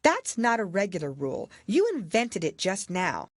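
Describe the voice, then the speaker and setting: A woman's voice: medium pitch, sing-song, moderate pace, no room sound, clean studio sound, pitched above the performer's own voice. A woman voicing a spirited, outspoken little girl in a storybook reading, emphatic and forthright. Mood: defiant. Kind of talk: audiobook character voice.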